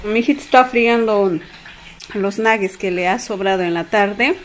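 A woman's voice: a little high, a little hiss or hum, low-quality recording. A Peruvian-accented woman in her thirties chatting affectionately in a casual home vlog, full of diminutives. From Peru. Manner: affectionate, uses many diminutives. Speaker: vlogger. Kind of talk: casual family vlog chat. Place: indoors at home. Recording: music playing behind the voice.

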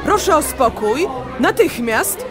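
A female class teacher in a children's radio play, proud and firm. She speaks slowly and a little high, with a crowd faintly behind her. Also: very animated, over music, full character voice.

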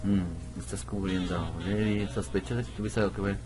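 A man's voice: low-pitched, fairly even intonation, brisk, darkish tone, faint music behind. A man giving casual gameplay commentary, thinking aloud and muttering to himself. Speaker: gamer, video host.

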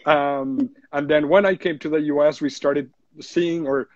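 Man, thirties, relaxed, dull dry audio-room sound. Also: a little high, a little slow, no room sound. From Mexico City. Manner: relaxed, repeats words when searching for them. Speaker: mezcal brand co-founder. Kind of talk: casual interview answers.

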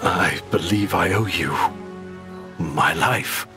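Slow, thoughtful monastery sage. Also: male voice, deep voice. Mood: weak, grateful. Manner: measured, thoughtful. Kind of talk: video game character acting. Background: music.

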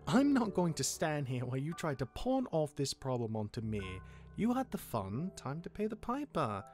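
Man playing wry demigod older brother, animated pitch swings, halting, sarcastic, fantasy game roleplay, music behind. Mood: defiant, sardonic. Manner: sarcastic, halting when flustered. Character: sardonic, awkward immortal demigod older brother.

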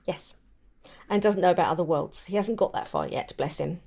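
A woman's voice: lowish voice, fairly quick, vocal fry, darkish tone, husky. Woman in casual book chat. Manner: chatty, giggly. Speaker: podcast host.

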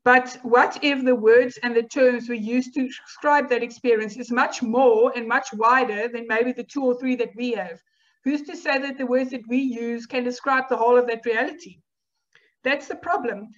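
A woman's voice: fairly high pitch, fairly even intonation. Afrikaans-speaking woman lecturing, dull lo-fi audio. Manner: measured, explanatory, with filler ums and rhetorical questions. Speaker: university lecturer. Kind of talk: academic lecture over slides.

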